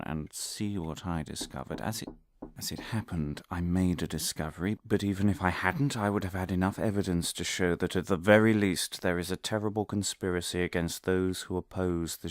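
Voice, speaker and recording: A man's voice: low voice, gravelly, dry recording, studio quality. An actor playing an earnest, muddled, pompous male academic obsessed with conspiracy, giving a theatrical, digressive comic lecture. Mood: earnest, self-justifying. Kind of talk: comic monologue delivered as a scholarly lecture.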